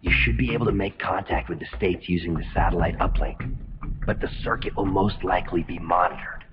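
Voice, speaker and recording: Earnest low-voiced soldier played by a man. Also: a little fast, noisy background, close to an everyday voice. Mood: weary, grave. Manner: earnest, explanatory. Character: earnest American male soldier, steady comrade-in-arms. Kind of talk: video game cutscene acting. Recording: dull lo-fi game capture. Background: loud music.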